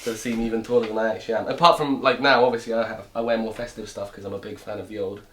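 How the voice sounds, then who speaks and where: A man's voice: lowish voice, fairly even intonation, rapid-fire, a bit bright. A man in his twenties from Romania, a comedian guest chatting casually and joking in a rambling way. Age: 20s. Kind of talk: casual chat.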